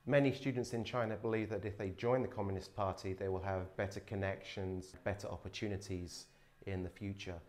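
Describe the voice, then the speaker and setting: A man's voice: sits low, steady tone, brisk, a little mellow. A man in his thirties filming a casual walking tour of an abandoned dormitory, explaining what he finds with curiosity, phone held at arm's length. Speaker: urban explorer vlogger. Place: abandoned dormitory building, indoors. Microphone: phone camera held at arm's length.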